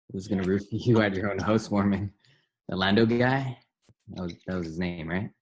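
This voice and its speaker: A man's voice: low voice, normal speed, breathy edge. A man playing a blunt, awkward, lonely young buddy in a casual comedy table read. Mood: casual, downcast. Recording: noisy home recording with clicks.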